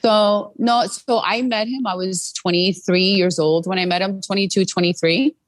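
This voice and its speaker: A woman's voice: medium pitch, fairly even intonation, moderate pace, no room sound, poor audio. A middle-aged American woman telling her personal story as an interview guest, earnest and full of "you know" fillers. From United States.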